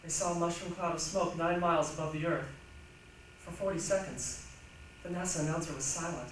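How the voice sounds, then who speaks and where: A woman performing a dramatic stage reading with measured, theatrical storytelling. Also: fairly low pitch. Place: large echoey room, recorded from a distance. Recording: echoey hall, distant mic, faint noise.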